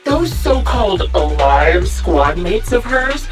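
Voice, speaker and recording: Sadistic sniper villainess for an anime-style game: mock-polite, theatrical, unhurried, wildly lilting delivery. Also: female voice, mid-range voice, big pitch swings, distant mic, faint music behind. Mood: condescending, cruel. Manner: theatrical, mock-polite, sing-song taunting. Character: sadistic, taunting woman sniper villain, gleefully mocking.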